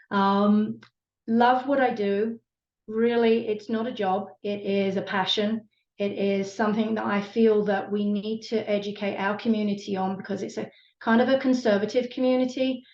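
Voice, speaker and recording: A woman's voice: medium pitch, a little mellow. A British woman speaking earnestly and passionately about her community work, with plenty of ums and uhs. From Britain. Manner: earnest, passionate, many fillers. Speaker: community support team member. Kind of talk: online panel conversation. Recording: echoey room, dull lo-fi sound.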